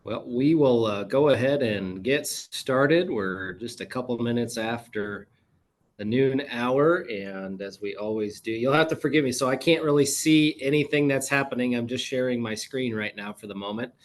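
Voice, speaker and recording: A man's voice: medium pitch, normal speed. A man running a club meeting as president on a video call, with hesitant fillers.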